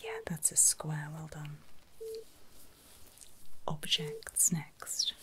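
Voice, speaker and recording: A woman speaking in a breathy whisper, gently reassuring and encouraging as she plays a clinician in an ASMR medical roleplay. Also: deep voice, little pitch movement, drawn-out words. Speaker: ASMR performer playing a surgical clinician.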